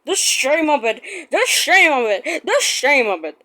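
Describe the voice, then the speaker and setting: A woman voicing a grumpy big male steam engine in a storybook read-aloud, grumbling slowly and sulkily. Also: high voice, sing-song, pushed and bright, pitched above the performer's own voice, heavily acted. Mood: complaining. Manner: slow, sulky, repeated grumbling.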